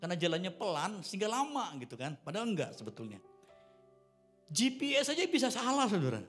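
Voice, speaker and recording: An older male pastor in his sixties gives a sermon at a church service with a slightly raised voice. He tells stories in a chatty way and builds to loud, emphatic declarations. A guitar plays softly behind him. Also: high-pitched, big pitch swings, fast. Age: 60s. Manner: conversational, swelling into loud emphatic preaching.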